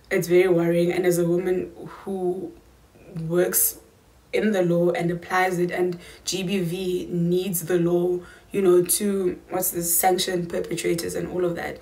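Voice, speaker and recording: 20yo south african girl vlogging, low-ish voice, emphatic slangy chat, small room. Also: creaky, darkish tone, poor audio. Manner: chatty, emphatic, slangy asides. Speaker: YouTuber. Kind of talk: casual Q&A vlog.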